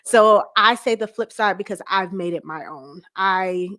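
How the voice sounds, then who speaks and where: A woman with a medium-pitched voice talks as a guest in an interview. She is plainspoken and self-assured, keeping it conversational. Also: fairly bright tone. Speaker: guest, pastor's wife.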